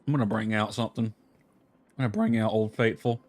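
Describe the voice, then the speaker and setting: A man's voice: fairly low pitch, steady tone, fast, no room sound. A man livestreaming a video game, talking casually to himself and reading game text aloud. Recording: music playing behind the voice. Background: lo-fi music.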